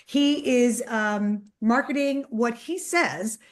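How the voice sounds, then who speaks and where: A woman's voice: a little high, takes it slowly. Chatty woman hosting an online panel. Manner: upbeat, chatty, many fillers. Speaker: host.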